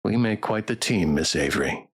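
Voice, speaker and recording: A man voicing a composed, gentlemanly young man in a visual novel, speaking in a measured, courteous way. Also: fairly low pitch, animated, slightly rough. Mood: quietly satisfied. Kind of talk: character acting in visual novel readthrough.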